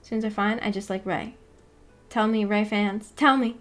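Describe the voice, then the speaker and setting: A woman hosting a casual livestream, chatting with viewers in a giggly, playfully teasing and apologetic way, rambling and halting as she goes. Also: medium pitch, fairly even intonation. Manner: rambling, halting, filler-heavy talk with frequent "like". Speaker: livestream host. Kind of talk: casual livestream chat with viewers about anime.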